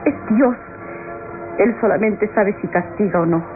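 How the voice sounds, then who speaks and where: Through a tinny old radio recording with music clearly behind, an actress plays a gentle young woman in anguish. She is a devout, devoted daughter in a Latin American radio soap, and her sorrow is measured and melodramatic, in a Latin American accent. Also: mid-range voice, lightly acted. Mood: pious, resigned. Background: dramatic music.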